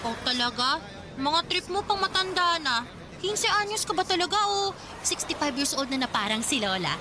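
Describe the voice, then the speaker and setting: Woman playing a high-pitched teasing teenage girl. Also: very animated, moderate pace, noisy background. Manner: teasing, breezy. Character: playful teasing teenage girl, outgoing gadabout cousin. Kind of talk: audio drama dialogue. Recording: loud music under the voice. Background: music.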